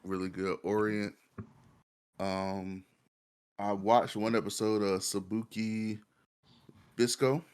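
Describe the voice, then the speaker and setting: A man with a fairly low voice talks as a panelist on a casual show. He rambles informally, dropping slang and swear words as he goes. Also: level tone, slow, pauses often, darkish tone, clean tone. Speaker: podcast panelist reviewing shows.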